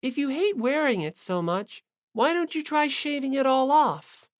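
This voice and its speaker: A man voicing a cool, composed teenage girl in an audiobook, blunt, flat and matter-of-fact. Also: extremely high pitch, unhurried, no room sound, clean studio sound, pitched above the performer's own voice. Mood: calm.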